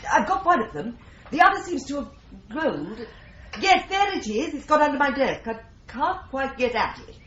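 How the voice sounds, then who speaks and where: A brisk, tart office worker. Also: female voice, high-pitched, big pitch swings, fairly bright tone, roomy sound, lo-fi sound, a little hiss or hum. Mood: exasperated. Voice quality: crisp, decisive, tart. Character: brisk, sharp-tongued female office worker. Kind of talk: 1950s-style BBC radio drama.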